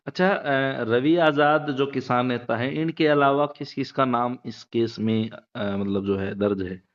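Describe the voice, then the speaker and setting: A man's voice: mid-range voice, a little slow. A man conducting a news interview over the phone, asking questions in a hesitant, roundabout way with filler phrases. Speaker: interviewer, local news reporter. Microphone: phone call. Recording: muffled, lo-fi phone call recording.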